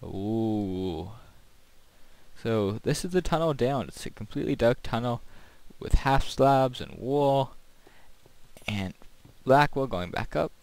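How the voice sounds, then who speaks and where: A man's voice: medium pitch, expressive, slow pace, gravelly, a little mellow, smooth, no echo. A man casually talking through his builds and play as a gaming video host, in a rambling commentary.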